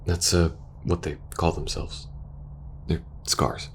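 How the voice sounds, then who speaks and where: A man playing a war-weary, protective soldier in an intimate ASMR audio roleplay, speaking earnestly and hesitantly, sometimes hushed. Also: sits low, flat delivery, slow, a little hiss or hum. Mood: urgent, persuasive. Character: war-weary young male soldier pleading with best friend, protective, earnest.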